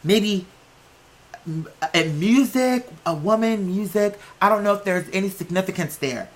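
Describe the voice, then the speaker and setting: A comic storyteller putting on a phony male TV psychic who speaks haltingly, with drawn-out, feigned innocence and wonder. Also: mid-range voice, lightly acted. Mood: halting, channeling. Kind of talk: mocking impression of a psychic reading.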